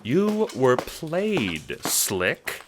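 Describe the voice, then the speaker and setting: A man's voice: medium pitch, big pitch swings, very slow, noisy background, pitched above the performer's own voice. A man voicing a mild, condescending puppet master who speaks with formal politeness. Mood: mild, smug. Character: Scratch, mild smug cue-ball-headed male puppet master.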